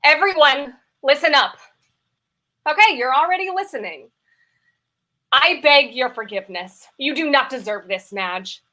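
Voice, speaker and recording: An actress plays a guilt-ridden mistress with a fairly high, bright, forceful voice. She is earnest and self-dramatizing, as in a comic stage play, pressing every confession with emphasis. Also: expressive, unhurried, long pauses, exaggerated voice. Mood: dramatic confession. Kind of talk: live play reading, comedic stage acting.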